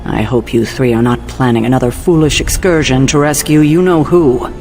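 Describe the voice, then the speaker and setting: An actor playing a stern, protective male leader in a video game, crisp, formal and easily indignant. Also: medium pitch, normal speed, darkish tone, faint music behind, close to an everyday voice. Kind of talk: video game cutscene acting.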